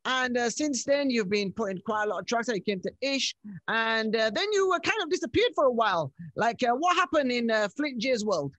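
A man's voice: extremely high pitch, animated, brisk, fairly bright tone, dry recording. A young man hosting an upbeat radio interview, speaking into a studio microphone. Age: young adult. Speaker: radio show host. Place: small radio studio indoors. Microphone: studio microphone with headphones.

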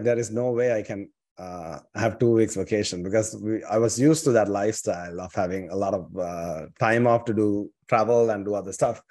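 A man's voice: lowish voice, a little mellow. A man in his forties with a Sri Lankan accent, a company founder telling his story on a video call with lots of fillers and restarts. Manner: rambling, many fillers and restarts. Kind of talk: casual storytelling interview. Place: home office with dark bookshelves.

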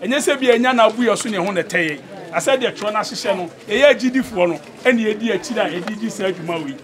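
A man's voice: sits high, expressive, very fast. A Ghanaian man emceeing an outdoor celebration, calling out to the crowd and leading them in call-and-response. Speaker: event emcee. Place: outdoor yard with a large crowd.

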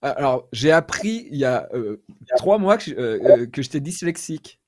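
A man in his forties chatting casually on a video call, self-deprecating and halting as he tells stories about himself. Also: mid-range voice, sing-song, a little mellow, no room sound, clean studio sound. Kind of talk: casual personal anecdotes, round-table chat. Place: small room at home, indoors.

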